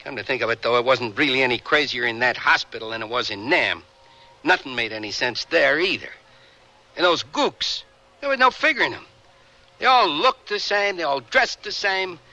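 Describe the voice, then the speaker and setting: An actor in a radio drama playing a bitter, haunted, volatile working-class Vietnam veteran with rough colloquial, defensive speech. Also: male voice, mid-range voice, expressive, moderate pace, sharp tone. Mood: sardonic, agitated.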